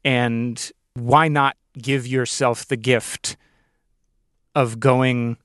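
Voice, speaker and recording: A man's voice: medium pitch, level tone, extremely slow, fairly bright tone, dry recording, clean studio sound. Male podcast host, average register, sincere and conversational delivery. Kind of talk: conversational interviewing and podcast hosting.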